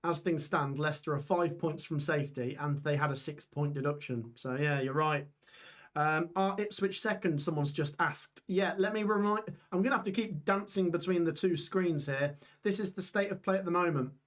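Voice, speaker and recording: A young man hosting a live football watch-along stream, reading out scores and chatting with viewers while his voice gets hoarse. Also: fairly high pitch, normal speed. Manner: chatty, reads scores aloud, reacts to chat. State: voice going hoarse.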